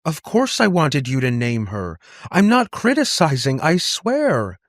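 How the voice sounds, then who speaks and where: A man's voice: on the high side, wildly swooping pitch, a little slow, no room sound, pitched above the performer's own voice, exaggerated voice. A man acts out an anxious, conciliatory aunt for an audiobook. She speaks tentatively, eager to connect, lifted above the narrating voice and recorded close and dry. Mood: pleading. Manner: tentative, placating. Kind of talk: audiobook character dialogue.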